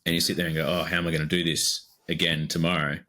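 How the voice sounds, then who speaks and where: A man's voice: low voice, level tone, fast, bright, forceful tone. An Australian man co-hosting a podcast, chatting casually and easily, with plenty of fillers as he rambles. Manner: easygoing, rambling, lots of fillers. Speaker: podcast co-host.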